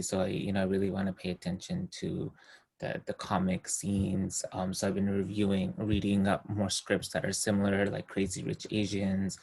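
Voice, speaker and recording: A man's voice: low-pitched, creaky, husky. A man on a video call giving a hesitant, filler-heavy update on his screenwriting. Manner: hesitant, many fillers, soft trailing phrases. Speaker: screenwriter sharing project update. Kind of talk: casual meeting chat.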